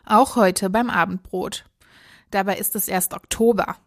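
A woman's voice: mid-range voice, fairly even intonation, a little slow, lightly acted. A woman performing as the narrator of a children's radio play, telling the story from a script. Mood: narrating.

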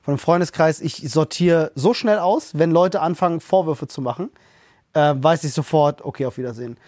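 A man's voice: fairly high pitch, darkish tone, dry recording, clean studio sound. A man co-hosting a casual podcast chat, blunt and full of fillers. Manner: blunt, filler-heavy, quick. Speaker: podcast co-host.

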